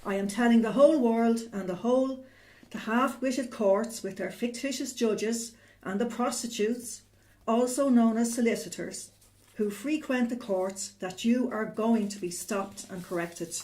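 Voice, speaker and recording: A woman's voice: a little high, a little slow, darkish tone, poor audio. A woman from Ireland with an Irish accent, reading a formal, declarative statement as a guest. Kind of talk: prepared statement.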